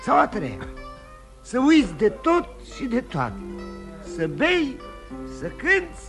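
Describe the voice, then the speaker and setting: An actor in a radio play voicing a ruined drinking man who confesses his failed life with self-loathing, halting and repeating himself. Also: very high voice, huge pitch swings, full character voice. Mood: bitter, self-mocking. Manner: rambling confession, halting with emotional repetitions. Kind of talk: theatrical radio drama acting. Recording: radio play with guitar music behind.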